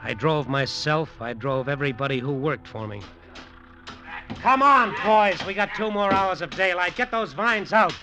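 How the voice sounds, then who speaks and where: An actor playing a brash, cocky, short-tempered hotel developer in slangy, emphatic old-time radio drama style. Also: male voice, a little high, normal speed, lightly acted. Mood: angry, defiant. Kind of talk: old-time radio drama acting with first-person narration. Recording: vintage radio drama, music behind.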